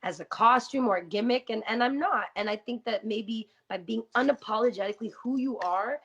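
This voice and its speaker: A woman's voice: a little high, a bit bright, breathy edge. Earnest woman guest, muffled sound. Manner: earnest, quick, many "you know" fillers. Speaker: guest rapper. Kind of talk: casual interview talk with rapped verses. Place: radio studio.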